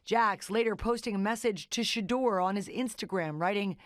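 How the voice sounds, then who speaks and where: An American woman works as a news correspondent, narrating a scripted report. Her voice sits at a medium pitch, and she delivers the copy as prepared broadcast narration. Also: moderate pace, no echo, studio quality.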